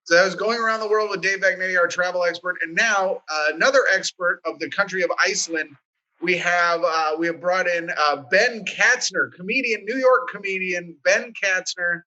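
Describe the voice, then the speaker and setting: Bright-voiced joking male co-host. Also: high voice, muffled recording. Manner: jokey, halting with restarts. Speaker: podcast co-host. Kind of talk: casual comedic chat.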